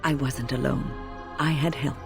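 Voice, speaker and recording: An actress playing a resolute, caring senior mage in a video game, earnest and urgent. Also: female voice, very deep voice, fairly even intonation, normal speed, vocal fry, music in the background. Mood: concerned. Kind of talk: dramatic video game acting.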